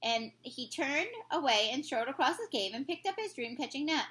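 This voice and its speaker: A woman narrates a children's storybook like a teacher reading aloud. Her voice is notably high. It has a bright, clear-cut edge. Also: lively intonation, moderate pace.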